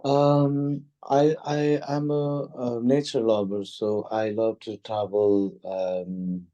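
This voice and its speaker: A man from Nepal answering speaking test questions, hesitant with many pauses and restarts. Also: on the low side, flat delivery, warm tone, no echo, lo-fi sound. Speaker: test candidate. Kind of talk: mock speaking exam answers.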